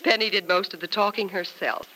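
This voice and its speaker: An actress playing a warm, playful nurse and confidante in a vintage radio serial. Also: female voice, mid-range voice, steady tone, brisk, poor audio. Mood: sincere. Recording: old radio recording.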